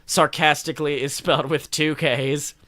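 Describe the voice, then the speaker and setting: A man's voice: a little high, level tone, normal speed, sharp tone, no room sound. A man co-hosting a podcast, giving a playful, mock-dramatic reading of a story with sardonic asides. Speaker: podcast co-host.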